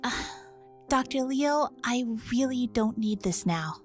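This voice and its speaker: Woman as a high-voiced, halting young heroine. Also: animated, a little mellow, faint music behind. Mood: shaken, defensive. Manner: halting, unsure. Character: stubborn, vulnerable young female mech pilot. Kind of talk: audio drama acting.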